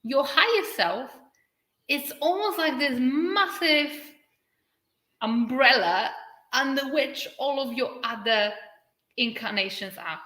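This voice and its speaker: A woman from Poland with a Polish accent, speaking English as a second language, giving an earnest spiritual livestream talk and stretching key words with dramatic pauses. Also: high-pitched, lively intonation, pushed and bright. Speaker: spiritual channel and activator. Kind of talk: livestream talk answering viewer comments. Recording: echoey room.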